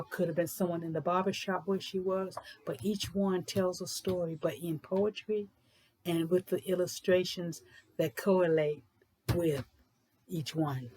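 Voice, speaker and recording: A woman presenting and reading children's books aloud in an informal, explanatory way with deliberate, emphatic phrasing. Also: on the low side, darkish tone, slight background noise, low-quality recording. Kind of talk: informal book talk and storybook read-aloud.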